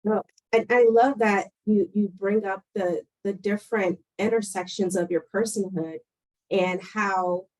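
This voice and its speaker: A woman moderating a panel discussion, explaining ideas in a measured way with frequent pauses and fillers. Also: mid-range voice, steady tone, darkish tone, rough recording.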